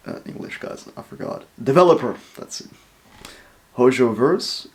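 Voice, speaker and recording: Excitable young guy reacting to game clips, cursing, lots of um and like. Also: mid-range voice, vocal fry. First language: Dutch. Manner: excitable, swears, lots of fillers. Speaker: reaction video host. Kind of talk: casual reaction commentary.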